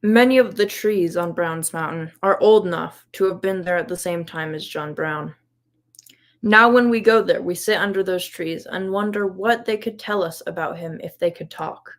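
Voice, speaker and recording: A woman reads through a prepared, reflective slide presentation on her research. Her voice is a little lower than most women's. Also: normal speed. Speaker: volunteer history investigator presenting research.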